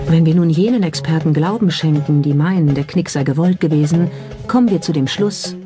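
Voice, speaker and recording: A documentary narrator reading a script in a measured, explanatory way and posing rhetorical questions. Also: medium pitch. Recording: music behind the voice. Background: clearly audible background music.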